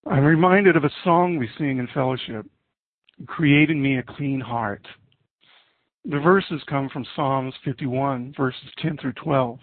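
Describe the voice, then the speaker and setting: A middle-aged man preaching a sermon and reading scripture in an earnest, deliberate way with pauses. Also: mid-range voice, dry recording. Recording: muffled narrowband, phone-like audio.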